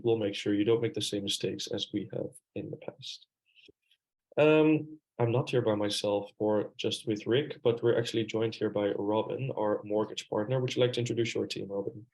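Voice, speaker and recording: A man's voice: fairly low pitch, creaky, a little mellow, poor audio. A young man hosting a webinar, casual and hesitant with frequent fillers. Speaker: webinar host. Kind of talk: webinar presentation.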